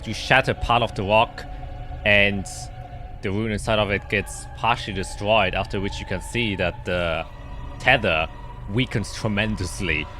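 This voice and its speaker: A man's voice: fairly low pitch, unhurried. A man speaking casually as game master of a tabletop roleplaying game. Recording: music under the voice. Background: music.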